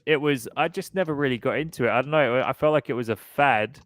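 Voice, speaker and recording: Easygoing man in his thirties, smooth voice. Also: mid-range voice, fast, a bit bright, dry recording. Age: 30s. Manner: easygoing, laughs often, reads viewer comments aloud. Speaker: podcast host. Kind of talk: casual livestream chat.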